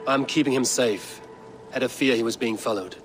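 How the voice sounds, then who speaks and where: An actor playing a calm, probing, quietly menacing Viking warrior in a video game, with a terse delivery. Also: male voice, medium pitch, moderate pace, a little hiss or hum, close to an everyday voice. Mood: probing, controlled. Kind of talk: video game character dialogue.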